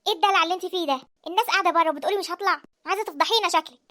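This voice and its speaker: A woman voicing a strict, sharp-tongued Egyptian mother who scolds her daughter. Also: very high-pitched, very fast. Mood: scolding. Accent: Egyptian Arabic. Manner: scolding, bossy.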